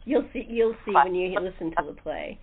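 A woman's voice: lowish voice, lively intonation, moderate pace, slight background noise. A woman hosting a casual group interview chat on a podcast, heard over a thin phone-like line. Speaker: host of a radio-play podcast.

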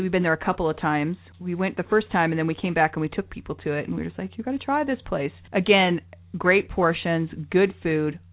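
Chatty female travel co-host, lowish voice, bubbly and quick to laugh, phone-thin audio. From lived in New York City. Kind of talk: casual chat. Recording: tinny phone-like line.

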